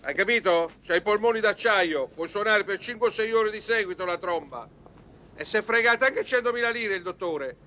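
An actor playing an exasperated, bossy but caring friend, scolding and coaxing in colloquial in a comedy film. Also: male voice, sits high, fairly quick, sharp tone, slight background noise. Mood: wry grumbling. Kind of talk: Italian film comedy acting.

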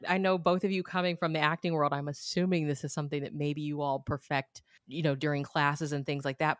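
A woman's voice: fairly low pitch, a bit bright, no echo, studio quality. Woman podcast host asking questions. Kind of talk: interview questions.

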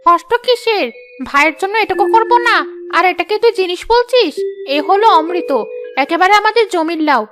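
A woman voicing female family characters in a scripted cartoon, with expressive, dramatic delivery. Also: extremely high pitch, a little fast, faint music behind. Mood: fussy, doting. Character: women of a family cartoon: fussy aunt, anxious young bride.

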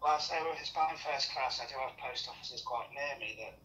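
Fast-talking English man on distant speakerphone. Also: medium pitch, highs cut off. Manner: polite, matter-of-fact. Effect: phone loudspeaker. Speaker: call recipient, stamp buyer. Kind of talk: casual phone conversation. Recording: distant, noisy, echoey speakerphone.

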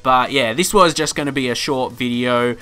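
A man's voice: medium pitch, normal speed, pushed and bright, clean tone. A man talking casually as a gaming YouTuber in a voice-over, chatty and rambling with many fillers and restarts. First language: not Dutch.

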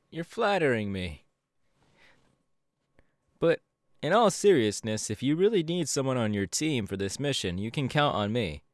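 A man's voice: mid-range voice, dry recording, studio quality. A man playing a friendly, bashful young hero with a hesitant, playful manner in an ASMR audio roleplay. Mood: casual, playful.

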